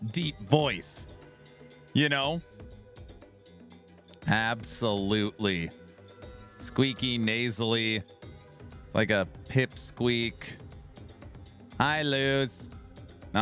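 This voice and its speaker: Male streamer, bright tense tone, unhurried delivery, jokey banter with chat, faint music underneath. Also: medium pitch. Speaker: streamer reacting to a video. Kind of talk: casual live-stream chat.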